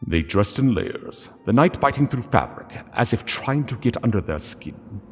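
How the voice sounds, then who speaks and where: A man narrating a sombre story in a solemn, hushed and deliberate way. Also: mid-range voice, sing-song, slightly rough, long reverb tail, soft background music.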